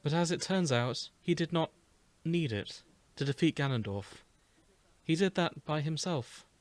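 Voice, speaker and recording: A man playing an earnest, gentle young princess with regret, in a measured, dramatic, tender reading of fan fiction. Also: a little high, animated, no echo. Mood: sincere.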